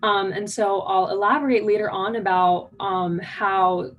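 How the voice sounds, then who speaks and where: A nineteen-year-old woman speaks at a conference keynote in an eager, conversational way, with frequent fillers. Her pitch sits in a middle range, and a faint noise hums under her voice. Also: steady tone, measured pace, dull audio. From United States.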